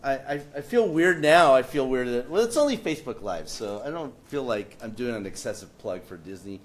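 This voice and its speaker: Wry, halting man on a panel. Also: mid-range voice, animated. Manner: wry, joking, halting with many fillers. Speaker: panelist, political cartoonist and writer. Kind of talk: casual panel talk presenting his work.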